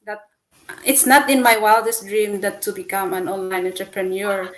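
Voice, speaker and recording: A middle-aged Filipino-accented woman tells her life story as an interview guest. She speaks English as her second language, so she stops often, repeats words and laughs between phrases. Her voice sits in an ordinary middle pitch. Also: level tone, normal speed. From Philippines.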